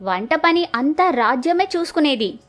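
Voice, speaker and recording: A woman's voice: high voice, expressive, normal speed. A woman storyteller narrating a scripted village moral story. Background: music.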